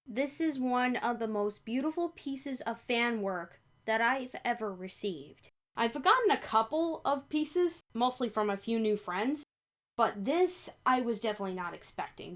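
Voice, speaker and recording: A woman's voice: on the high side, expressive, unhurried. A woman speaking as narrator and host of a fan fiction reading. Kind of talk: story narration and casual outro chat.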